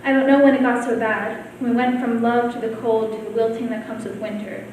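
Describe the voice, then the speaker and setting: A teenage girl, a high school student, reciting her own poem at a reading. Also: level tone, a little fast, darkish tone. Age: teens. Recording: very echoey room, distant mic, faint noise.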